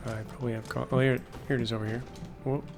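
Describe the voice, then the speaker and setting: A man narrating his own play session in a relaxed, musing way, his voice a bit worn from talking all day. His pitch sits in an ordinary middle range. Soft game music and keyboard clicks play faintly behind him. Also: fast talker, creaky. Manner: musing, thinking aloud while playing. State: losing his voice. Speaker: gameplay video host. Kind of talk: casual let's-play commentary.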